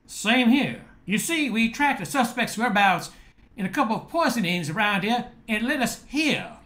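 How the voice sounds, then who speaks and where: A man's voice: high-pitched, big pitch swings, moderate pace, bright, forceful tone, heavily acted. An older man playing a police officer in an amateur radio play. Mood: businesslike. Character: police officer on a poisoning case.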